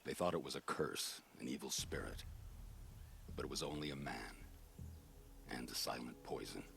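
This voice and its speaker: An actor playing a bitter, world-weary soldier captain in a video game, speaking gravely and with measured weight. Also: male voice, lively intonation, a bit bright, heavily acted. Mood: grim, regretful. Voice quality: deep, gravelly. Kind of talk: dramatic video game acting.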